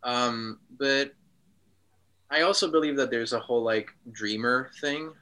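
A man from Switzerland, a guest in a casual interview, speaking reflectively with frequent pauses and ums. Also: mid-range voice, pushed and bright.

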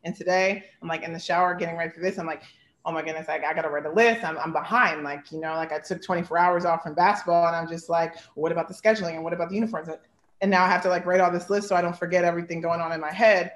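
A woman's voice: lowish voice, fairly even intonation, rapid-fire, echoey room. A woman in her thirties, a basketball coach, giving earnest, heartfelt interview answers full of "like" and "you know". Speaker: basketball head coach, guest.

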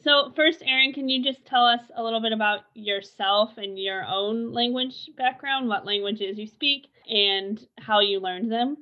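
A woman co-host puts a question to her guest, drawing out her words carefully. Her voice sits a little high. The recording sounds dull and lo-fi. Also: unhurried, few pauses. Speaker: podcast co-host. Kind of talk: asking interview questions.

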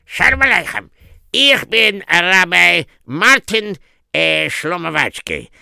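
Man acting wheedling rabbi fundraiser, comic storytelling, high gravelly voice, hesitant pleading. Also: expressive, slow, sharp tone, no echo, studio quality, exaggerated voice. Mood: polite wheedling. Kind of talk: acted dialogue in a comic story.

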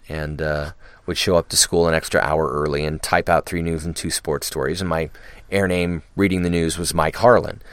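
A man with a very low voice, smooth and resonant, chats as a podcast guest. He talks in loose, rambling runs, full of ums and restarts. The sound is dry and close to the mic. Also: a bit bright, no room sound. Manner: rambling, many fillers and restarts. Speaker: podcast guest, radio DJ and actor. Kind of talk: casual interview chat.

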